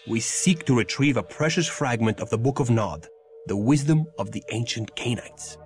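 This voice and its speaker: An actor playing a grave, stern medieval vampire warrior and mentor, speaking in solemn archaic phrases for a video game. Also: male voice, mid-range voice, normal speed, faint music behind, lightly acted. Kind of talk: theatrical video game acting.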